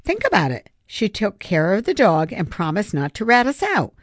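A woman's voice: medium pitch, wildly swooping pitch, normal speed, no room sound, studio quality, voice pushed up. A woman voicing an earnest, scheming schoolboy ringleader who argues insistently in an audiobook story. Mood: upbeat, confident. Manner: pleading, insistent.

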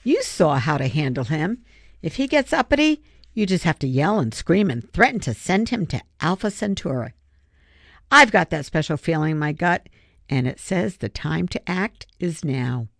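A woman's voice: low voice, sing-song, normal speed, gravelly, no echo, studio quality, heavily acted. A woman voicing an earnest, scheming schoolboy ringleader who argues insistently in an audiobook story. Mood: upbeat, confident. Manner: pleading, insistent.

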